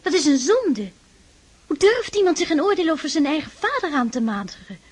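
An actress playing a shy, devout aristocratic sister in a Dutch radio drama, speaking earnestly and tenderly, with pleading admonishment. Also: female voice, sits high, big pitch swings, fairly quick. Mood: earnest, admonishing.

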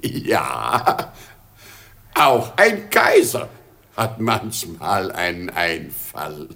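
Old emperor: weepy, kindly, very slow, swooping theatrical lines, vintage radio drama. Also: male voice, sits low, wildly swooping pitch, creaky, sharp tone, a little hiss or hum, exaggerated voice. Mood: relieved, confiding. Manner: theatrical, halting delivery. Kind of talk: 1950s German radio play acting.

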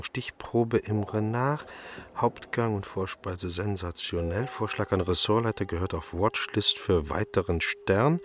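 An actor playing an inquisitive restaurant chef and amateur sleuth in a radio drama, matter-of-fact and probing. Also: male voice, on the low side, slight background noise, lightly acted.

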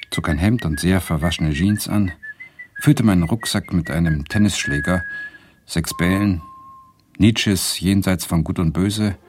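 An actor playing a lecturer who narrates fond memories in a radio drama, in a reflective, measured, nostalgic way. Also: male voice, sits low. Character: male Swedish guest lecturer fondly recalling happy days.

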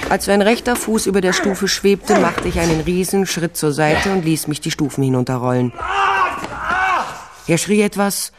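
A woman's voice: fairly low pitch, noisy background, natural voice. An actress playing a tough, wry female private detective, narrating a crime radio play in a terse, observant way.

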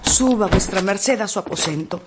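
A woman's voice: medium pitch, animated, moderate pace, close to an everyday voice. A woman playing a fretful household servant, lamenting and scolding as she cares for her master, in a radio drama. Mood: scolding concern. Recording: loud background noise.

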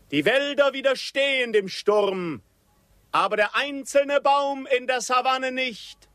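A fiery Apache orator in a radio drama. The voice is very high and bright, proclaiming slowly and solemnly in theatrical, declamatory phrases to rally warriors. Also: male voice, dry recording. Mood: solemn, urgent.

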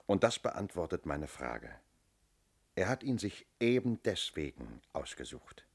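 An actor playing a shrewd, self-assured police inspector in a radio crime drama, speaking firmly and methodically. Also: male voice, low-pitched, sing-song, a little slow, creaky, breathy edge, exaggerated voice. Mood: certain, explanatory. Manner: methodical, firm, explanatory.

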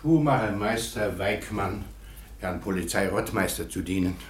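An actor playing a deferential but opinionated master shoemaker in a radio play, formal and insistent. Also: male voice, sits low, moderate pace, close to an everyday voice. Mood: eager, deferential. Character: deferential yet opinionated male master shoemaker, eager witness.

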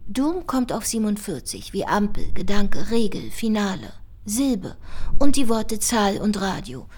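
An actress playing a clever, playful code researcher in a naturalistic radio drama, speaking precisely with a teasing edge. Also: female voice, mid-range voice, very animated, unhurried, darkish tone. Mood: precise, matter-of-fact.

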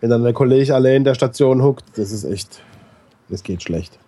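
A man's voice: mid-range voice, moderate pace, warm tone. A man who has a cold, chatting casually and teasing his co-host on a radio show. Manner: blunt, teasing, joking banter. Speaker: co-host, nurse.

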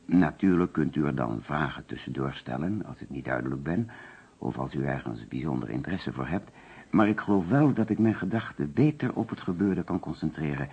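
An actor playing an anxious, courteous insurance agent under suspicion, speaking carefully and formally in a radio detective play. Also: male voice, very deep voice, breathy edge, full character voice. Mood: anxious, pleading. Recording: muffled old radio recording.

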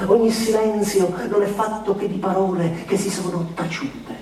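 A sensitive young husband, played by a male actor, makes a painful confession in a radio play monologue. His voice is mellow and a little high for a man, and he weighs each short phrase between pauses. The recording is very echoey, as if from across a room. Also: steady tone, recorded from a distance, slight background noise, close to an everyday voice. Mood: apologetic, pained. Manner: measured, deliberate, pausing between short phrases. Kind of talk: literary radio theatre monologue.

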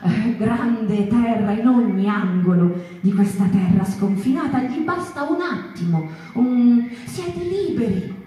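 Echoey, slow-speaking wistful theatrical storyteller woman. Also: a little high, lively intonation, warm tone, a little hiss or hum. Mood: urgent. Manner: halting, repetitive, rhetorical questions to listeners. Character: impassioned female storyteller of the mouse folk, wistful, searching. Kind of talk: theatrical monologue, dramatic storytelling. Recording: echoey room, distant microphone.

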